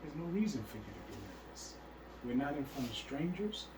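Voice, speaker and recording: A man's voice: medium pitch, sing-song, moderate pace, roomy sound. A man teaching a religious class, emphatic and repeatedly checking that his listeners understand. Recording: faint hiss, speaker far from mic.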